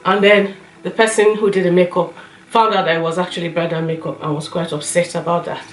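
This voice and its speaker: A woman with a slightly hoarse voice, chatting casually as a vlogger giving her opinions and telling anecdotes. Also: fairly low pitch. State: slightly hoarse, recovering from a cough. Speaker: YouTube lifestyle and beauty vlogger. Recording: roomy echo, light music underneath.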